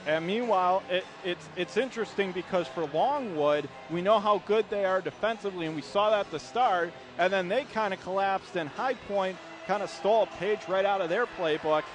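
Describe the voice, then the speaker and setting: A man's voice: sits high, normal speed, hardly any pauses. A man doing live radio play-by-play and commentary on a basketball game from an indoor arena.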